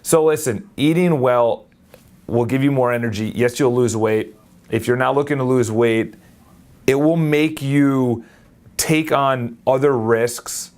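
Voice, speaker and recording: A young man in his late twenties talks straight into his phone camera in a loose, unscripted vlog. He is energetic and rambling, and he often stops and restarts his sentences. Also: medium pitch, a little slow. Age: 20s. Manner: energetic, rambling, self-correcting. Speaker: vlogger. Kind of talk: casual talk to camera.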